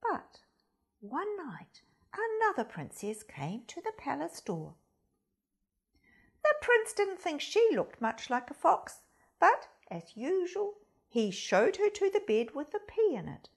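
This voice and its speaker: High, animated woman reading a children's story. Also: takes it slowly. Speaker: storyteller, primary school reader.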